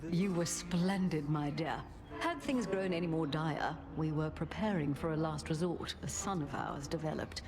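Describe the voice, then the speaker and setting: A woman's voice: deep voice, moderate pace, over music. A woman playing a mature, regal queen of the gods in a game, speaking in a stately, formal and gracious way. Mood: gracious, assured. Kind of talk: scripted game acting.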